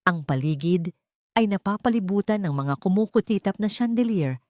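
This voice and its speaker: A woman's voice: medium pitch, expressive, moderate pace, a little mellow, no echo. A woman with a Filipino accent giving a dramatic scripted narration of a story. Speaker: narrator of audio drama stories.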